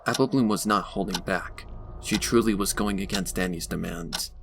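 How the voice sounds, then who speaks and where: A man's voice: deep voice, moderate pace, creaky. A man narrating a scripted dramatic story on a noisy home recording.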